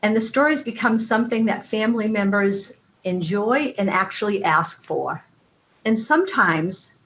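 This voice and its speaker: A woman who works as a nurse giving a prepared, reflective commentary in measured, formal phrasing. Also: medium pitch, fairly bright tone, dull audio. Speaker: nurse presenting a poem.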